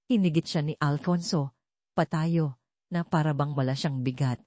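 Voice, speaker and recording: A woman's voice: sits low, normal speed, darkish tone, dry recording. A woman reading scripted dramatic narration as the narrator of an audio story.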